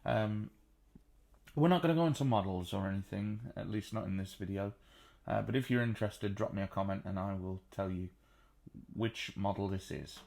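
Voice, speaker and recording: Chatty baritone man teaching banjo. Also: vocal fry, a little mellow. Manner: chatty, unhurried, frequent ums and uhs. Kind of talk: relaxed spoken music tutorial. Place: seated on a sofa at home.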